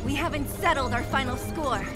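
A woman's voice: high voice, moderate pace, music in the background, close to an everyday voice. An actress playing a fierce, impatient young female rebel in a video game, brash and confrontational. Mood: defiant. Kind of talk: video game character dialogue.

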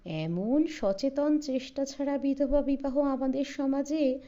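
A woman voicing an earnest, self-important elder brother in a story, declaiming deliberately as if lecturing friends. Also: high voice, mellow, lo-fi sound, voice pushed up. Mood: self-satisfied conviction. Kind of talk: storybook character voice.

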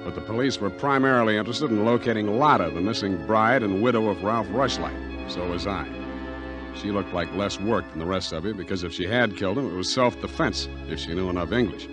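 An American actor playing a tough, wisecracking private detective, wry and blunt, in a 1940s radio drama. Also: male voice, on the low side, brisk, natural voice. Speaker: lead actor and narrator. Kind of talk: 1940s hard-boiled detective radio acting and narration. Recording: old 1940s radio recording, music behind.

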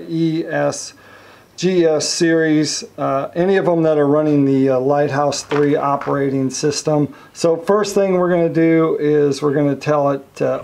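A man with a somewhat high, gravelly voice explains a marine chart unit step by step. His delivery is relaxed and conversational, full of fillers as he clicks through menus beside a boat. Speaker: marine electronics reviewer. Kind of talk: casual product demonstration.

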